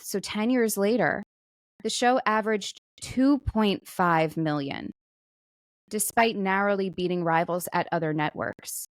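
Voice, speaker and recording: A woman podcast co-host with a medium-pitched voice, recorded close and dry. She chats in a casual, comedic style, as if talking news over with a friend. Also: no echo. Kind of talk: casual comedic talk about celebrity news.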